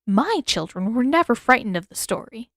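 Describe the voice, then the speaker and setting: A woman voicing an older mother, shrewd and unyielding, with a measured, matter-of-fact way of talking. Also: a little high, very animated, dry recording, studio quality. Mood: firm.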